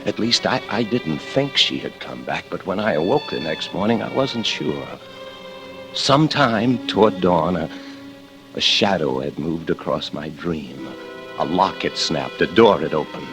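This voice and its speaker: An actor playing a desperate killer in hiding who fears his wife, in halting, theatrical 1940s radio suspense style. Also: deep voice, animated, low-quality recording. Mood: haunted, frantic. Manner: theatrical, halting, repeats words in dread. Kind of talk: 1940s radio drama acting, first-person suspense narration. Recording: old radio recording with organ music.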